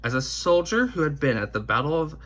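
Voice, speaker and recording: A man reading a children's picture book aloud in deliberate, emphatic phrasing. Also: a little high, sing-song, fairly bright tone. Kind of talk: scripted storybook read-aloud.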